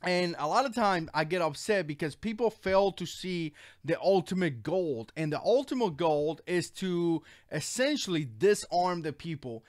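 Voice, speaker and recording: Cuban-born male streamer, slightly high voice, unscripted earnest rambling commentary. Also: lively intonation, moderate pace, few pauses. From Cuba. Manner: rambling, earnest, frequent fillers and restarts. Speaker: channel host. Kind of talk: casual livestream commentary.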